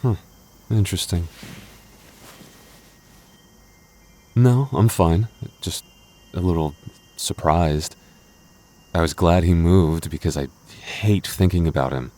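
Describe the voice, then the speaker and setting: A man's voice: deep voice, a little slow, dark tone. A man playing a jealous, protective young boyfriend in an intimate audio roleplay, defensive and stammering but loving. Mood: surprised, uneasy. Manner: stammering, defensive, teasing asides.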